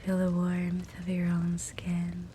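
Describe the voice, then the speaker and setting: A woman guiding a sleep hypnosis meditation in a soft, breathy whisper, gentle and soothing. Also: on the low side, slow. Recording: soft steady fireplace crackle noise. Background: fireplace ambience.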